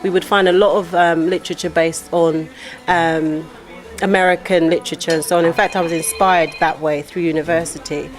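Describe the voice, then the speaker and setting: Woman from Guyana, middle-aged, fairly low voice, thoughtful, hesitant, faint music behind. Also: steady tone, a little fast. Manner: thoughtful, hesitant, many fillers and restarts. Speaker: author and poet, interview guest. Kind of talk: casual interview talk.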